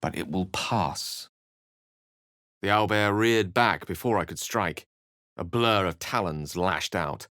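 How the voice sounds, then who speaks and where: A man's voice: sits low, slow pace, sharp tone, no echo, clean studio sound, exaggerated voice. A man voicing an eloquent, bookish wizard in a fantasy video game, wry and courteous, with articulate, dramatic phrasing. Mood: hushed, grave narration. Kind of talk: theatrical fantasy game dialogue and storybook-like narration.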